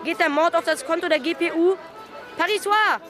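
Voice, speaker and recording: A man's voice: very high voice, a little fast, over loud music, over a noisy crowd. A man playing a young street newspaper crier, calling out headlines in a radio drama. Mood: urgent, hawking. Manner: crying out, repetitive sales calls.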